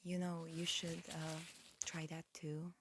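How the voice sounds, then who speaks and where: A woman performs a whispered ASMR medical exam roleplay in a soft, fairly low voice. She speaks very slowly and carefully, like a calm examiner explaining each step. The microphone is close, with a faint hiss behind her. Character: calm, attentive alien examiner disguised as a human.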